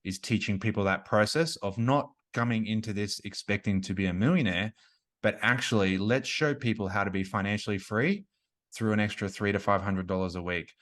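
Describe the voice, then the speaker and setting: A talkative man in his forties with a lowish voice. He speaks in a loose, conversational way, full of "like," restarts and asides, as he explains his business as a coach. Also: fairly quick, fairly bright tone. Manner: chatty, frequent "like" and restarts. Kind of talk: casual interview conversation.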